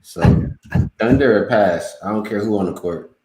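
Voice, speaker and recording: A man's voice: fairly low pitch, moderate pace, a little mellow. A man on a sports betting panel talking bluntly and emphatically in casual slang, recorded in an echoey room.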